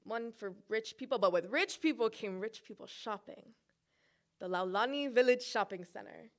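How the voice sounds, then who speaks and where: A woman's voice: on the high side, lively intonation, normal speed. A young woman from Hawaii performing a personal narrative at a recital, with playful asides and comic timing. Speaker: student presenter.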